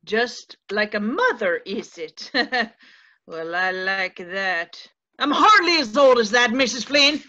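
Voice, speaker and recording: A crotchety middle-aged landlady played by a woman from a stage play, high and piercing. She scolds in folksy, old-fashioned dialect, menacing and coy by turns. The sound is muffled, as over a video call. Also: expressive, slow pace, pushed and bright, heavily acted. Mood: coy, needling. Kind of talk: stage play table read. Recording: dull video-call audio.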